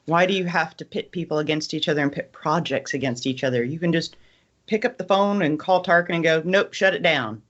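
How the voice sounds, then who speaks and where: A female co-host makes her case in a relaxed group discussion. She is blunt and informal, leaning on words for emphasis. Her audio is slightly dull and lo-fi. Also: low-pitched, a little fast. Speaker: podcast co-host. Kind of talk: casual panel discussion.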